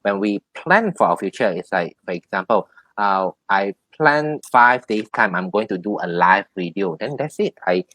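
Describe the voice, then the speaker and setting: A deep-voiced man speaks as a tarot reader, offering guidance in a one-on-one session. He explains haltingly, circling back over his phrases, and the recording is dry and close. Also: lively intonation, a bit bright, no room sound, rough recording. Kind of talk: spiritual advice in a one-on-one reading.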